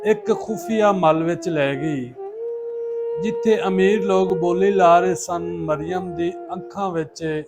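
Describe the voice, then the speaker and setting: A man narrating a moral story in a solemn, emotive, deliberate way, as a storyteller would. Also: high voice, creaky. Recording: loud flute music under voice. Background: loud instrumental flute music.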